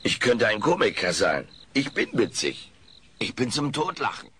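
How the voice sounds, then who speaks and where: A man's voice: medium pitch, sing-song, moderate pace, pushed and bright. A man playing a smug, wisecracking furry alien comedian in a German audio drama. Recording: noisy old cassette audio drama.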